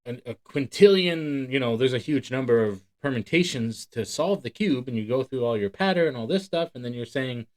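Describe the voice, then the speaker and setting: A man's voice: medium pitch, expressive, moderate pace, hardly any pauses, darkish tone. A man hosting a channel, reading a book aloud and breaking off into casual, rambling, emphatic commentary. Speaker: host of a magic channel.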